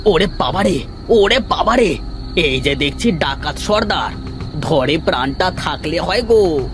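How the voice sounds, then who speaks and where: A man's voice: fairly high pitch, expressive, measured pace. A man voicing a cowardly, whining petty thief in a cartoon, given to stammering and wailing. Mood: terrified pleading. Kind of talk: Bengali cartoon voice acting. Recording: music behind.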